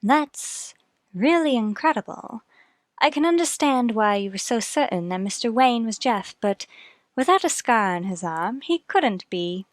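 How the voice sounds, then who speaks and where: A woman's voice: on the high side, animated, a little slow, no echo. A woman acting in a radio murder mystery, playing an earnest, emotional character with dramatic delivery. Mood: astonished.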